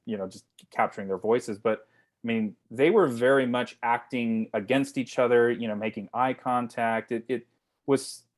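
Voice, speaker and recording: A man speaking casually as a writer-director in a video call Q&A, rambling informally and often repeating his words. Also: medium pitch. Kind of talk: casual Q&A discussion.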